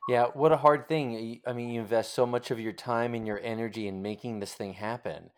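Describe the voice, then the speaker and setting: A man's voice: medium pitch, steady tone, brisk, darkish tone, clean tone. A man hosting a casual interview, speaking sympathetically and feeling his way thoughtfully through his phrasing. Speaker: interviewer, podcast host.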